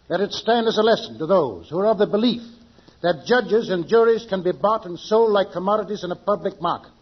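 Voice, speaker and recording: Husky, high-pitched stern judge. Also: male voice. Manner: formal, declamatory. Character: stern, upright, pompous male judge. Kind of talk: 1940s-50s radio drama acting. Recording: muffled vintage radio recording.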